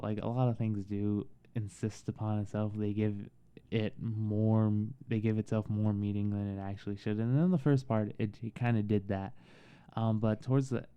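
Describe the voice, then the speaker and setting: A man giving a casual, halting film review in a soothing voice, with frequent pauses and restarts. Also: on the low side, mellow, clean tone. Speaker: movie reviewer.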